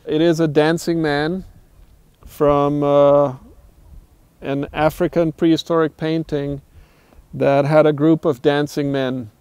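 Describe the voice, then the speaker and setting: A middle-aged man speaks in a documentary interview about building guitars. His voice is smooth and resonant and sits a touch higher than most men's. He talks in a considered, unhurried way, often stopping to think with an "uh". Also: darkish tone, dry recording. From Austria. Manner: thoughtful, measured, with frequent "uh" pauses. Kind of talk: documentary interview, reflective storytelling.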